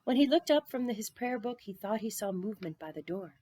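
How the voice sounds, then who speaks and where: A woman reading a children's story aloud in an expressive narrating style. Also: mid-range voice, brisk, no room sound. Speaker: storybook reader.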